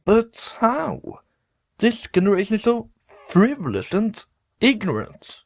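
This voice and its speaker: A man puts on an indignant old geezer in an audio drama. The voice is pushed up high and swoops dramatically, slow and scornful. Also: huge pitch swings, gravelly, full character voice.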